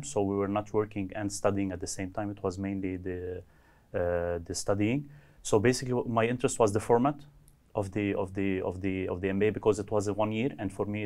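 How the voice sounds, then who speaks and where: A man from Lebanon, an engineer answering interview questions in a measured way, often restarting phrases. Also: lowish voice, darkish tone. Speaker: MBA graduate, engineer.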